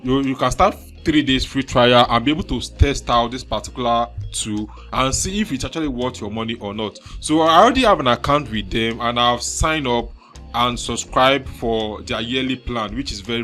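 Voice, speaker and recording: A man with a Nigerian accent hosting a screen-recorded software tutorial, chatty and leaning on filler words like "so" and "actually". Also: mid-range voice. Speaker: tutorial host.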